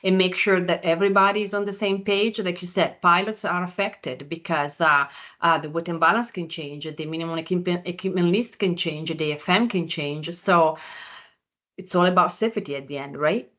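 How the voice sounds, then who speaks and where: A woman hosting a podcast in a conversational, agreeable way, speaking haltingly as she puts her thoughts together. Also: lowish voice, a bit bright, lo-fi sound. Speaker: podcast host.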